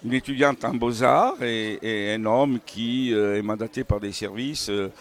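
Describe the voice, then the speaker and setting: A man speaking as a novelist in an unscripted interview about his own books, halting and searching for words as he digresses. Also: fairly low pitch. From Italian family background. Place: book festival.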